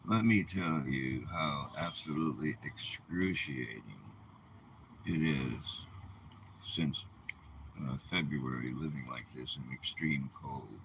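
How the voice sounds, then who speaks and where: A man's voice: rumbling low, slow, a bit bright, slight background noise, low-quality recording. A man in his seventies delivering an aggrieved personal vlog monologue in an indignant, emphatic way. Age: 70s. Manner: indignant, emphatic, rhetorical questions. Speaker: vlogger.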